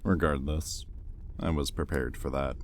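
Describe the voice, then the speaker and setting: A man playing an imperious, sardonic demon in an intimate audio roleplay, with a deliberate, menacing drawl and easily exasperated temper. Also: extremely low pitch, steady tone, slight background noise. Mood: puzzled, threatening.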